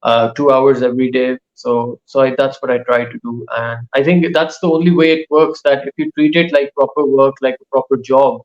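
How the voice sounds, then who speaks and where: A male author, whose first languages are Urdu and Saraiki, giving casual interview answers in a thoughtful way that pauses often mid-sentence. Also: mid-range voice, flat delivery, highs cut off. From Pakistan. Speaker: author and writing teacher.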